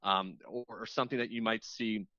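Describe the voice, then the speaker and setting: A man's voice: fairly low pitch, fairly even intonation, normal speed, bright, forceful tone, dry recording. Man on a dull, dry lo-fi line. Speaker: radio show host appearing as guest. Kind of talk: casual interview chat.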